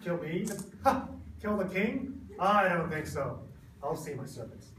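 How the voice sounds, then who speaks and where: A man plays a flippant, commanding puppet-show king. His voice is high and swoops about, jokey and bossy, recorded from a distance in an echoey room. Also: animated, unhurried, a bit bright, slight background noise. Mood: dismissive. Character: flippant, commanding comic king, easily swayed. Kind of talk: amateur comic puppet show.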